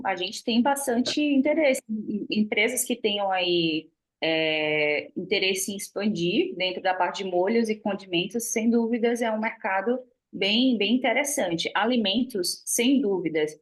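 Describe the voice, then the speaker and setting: A woman's voice: mid-range voice, normal speed. A Brazilian woman with a Brazilian Portuguese accent, talking about business as a webinar guest over a video call, chatty and full of fillers. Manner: chatty, frequent fillers and restarts. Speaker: guest speaker on trade. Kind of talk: webinar talk. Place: lives in China.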